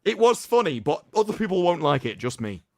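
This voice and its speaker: A male livestream host delivers a mock-solemn dramatic reading and breaks into sarcastic commentary. His voice swings widely in pitch and is theatrical throughout. It is recorded close and very dry. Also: very animated, fairly quick, no echo.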